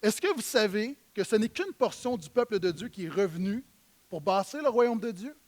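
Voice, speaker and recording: A man with a Québécois French accent preaching a sermon in church, emphatic, swinging between loud stresses and quiet low lines. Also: sing-song, normal speed. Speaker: pastor.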